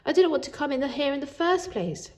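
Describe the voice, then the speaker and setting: A woman voicing a timid, anxious young boy in a storybook, with a hesitant, pleading, squeaky delivery. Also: animated, fast, a little mellow. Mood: upset, protesting.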